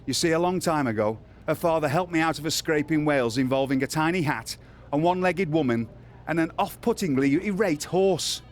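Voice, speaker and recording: A man playing a sly, genteel British general manager in a wrestling video game, formal and smoothly condescending. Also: fairly high pitch, fairly quick, slight background noise, lightly acted. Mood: genial, sly. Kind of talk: video game cutscene acting.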